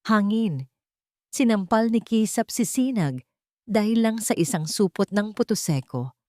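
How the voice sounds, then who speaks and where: A woman's voice: medium pitch, expressive, unhurried, darkish tone, dry recording, clean studio sound, pitched above the performer's own voice, natural voice. A woman voicing a fed-up wronged wife in a story, emphatic and pleading. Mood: pained, pleading.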